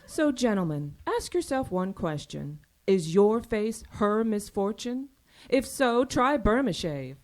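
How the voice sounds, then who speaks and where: An actress playing a coy pitchwoman reciting singsong rhymes in an old-time radio commercial. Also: sing-song, slow pace, a little mellow. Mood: coy, selling. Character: coy female singsong jingle reciter in vintage ad.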